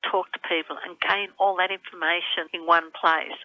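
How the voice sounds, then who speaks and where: An Australian woman gives a politician's unscripted answer in an interview. Her voice is slightly low and comes through thin, band-limited telephone audio. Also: little pitch movement, moderate pace, no room sound. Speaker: member of parliament. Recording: tinny phone line.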